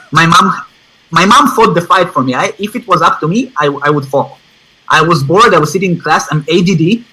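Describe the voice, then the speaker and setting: A man with an Israeli accent talks as an interview guest, in non-native English. His voice is fairly high and bright, with a forceful edge. He speaks informally and leans on repeated phrases to drive points home. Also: breathy edge, poor audio. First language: not English, which is a third language. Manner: emphatic, repeats phrases for stress. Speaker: interview guest, online advertising student.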